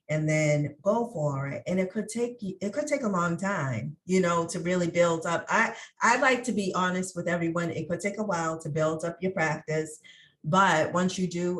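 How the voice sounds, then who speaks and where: Low-voiced affirming woman host. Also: slight background noise. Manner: affirming, agrees often. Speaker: host, life coach. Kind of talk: interview conversation.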